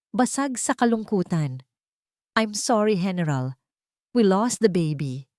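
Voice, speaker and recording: A woman's voice: fairly low pitch, darkish tone, no room sound, studio quality. A woman with a Filipino accent reading a dramatic story as an audiobook narrator.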